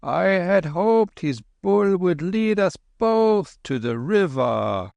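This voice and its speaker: A man voicing an old, gentle holy man who speaks in dignified, archaic phrases. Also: mid-range voice, big pitch swings, very slow, no room sound, voice pushed up, full character voice. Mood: pleading. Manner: solemn, archaic, dignified speech.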